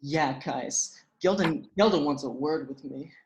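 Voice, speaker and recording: Oblivious dude played by a woman: low pitch, cheerfully deadpan, nonchalant rambling, comic drama read-through. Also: a little slow. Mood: suggestive. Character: goofy, oblivious young man, cheerfully deadpan.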